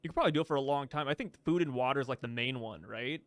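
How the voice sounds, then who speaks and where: A man's voice: mid-range voice, rapid-fire. A man co-hosting a casual anime podcast, talking loosely with frequent repeated words and fillers. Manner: stammering repeats, lots of fillers. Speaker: podcast co-host. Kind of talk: casual chat about anime.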